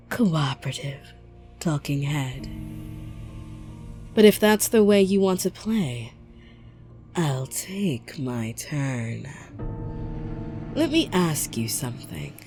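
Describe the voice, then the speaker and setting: A woman playing a coldly patient, menacing interrogator from a warrior clan, speaking deliberately and with mock politeness, slipping into whispers in an ASMR drama. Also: sits low, a little mellow, exaggerated voice. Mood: cold, threatening. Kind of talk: dramatic ASMR roleplay monologue.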